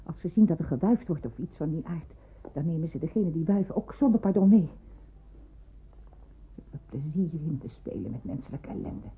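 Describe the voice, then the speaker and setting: A tinny, slightly noisy radio play recording holds a nursery worker with a lowish voice. She sounds sober and compassionate, speaking in a measured, guarded, matter-of-fact way. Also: lively intonation. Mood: cautioning, kind. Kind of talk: radio drama acting. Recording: thin phone-like band, noisy old radio play.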